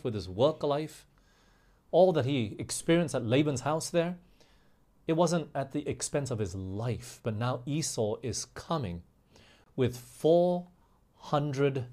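A man preaching a sermon in an emphatic way, slowing down on key phrases and putting rhetorical questions to his listeners. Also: on the high side, a little mellow. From grew up overseas in England, Australia and the US.

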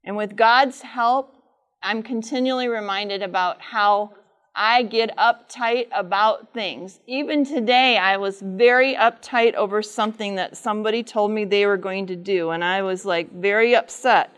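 A middle-aged woman with a loud voice speaks live to a conference audience, teaching and asking them frequent questions. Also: mid-range voice, unhurried. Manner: says she has a loud voice. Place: large conference room with air conditioner.

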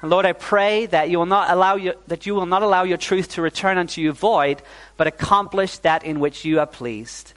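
A man's voice: high voice, fairly quick. A young man from New Zealand preaching a sermon as pastor to a congregation, often saying "uh" and calling for "amen". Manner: warm, fillers like "uh", calls for "amen".

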